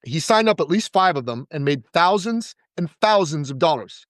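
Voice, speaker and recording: A man talking as a podcast guest and business coach, giving an energetic pitch and repeating words for emphasis. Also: normal speed, no room sound, clean studio sound.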